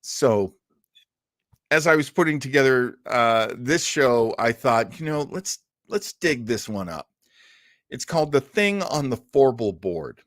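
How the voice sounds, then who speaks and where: Man, radio show host, medium-pitched voice, casual chat, enthusiastic, rambling, dry close sound. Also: big pitch swings, measured pace, a bit bright, no room sound. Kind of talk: casual chat to a live audience.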